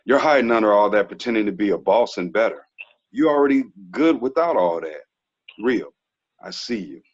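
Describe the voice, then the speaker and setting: An actor reading a frank, laid-back twenties ex-athlete who is quietly troubled, slangy and earnest, in a TV drama table read. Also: male voice, lowish voice, lively intonation, normal speed. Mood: frank, teasing. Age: young adult. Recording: dull lo-fi recording.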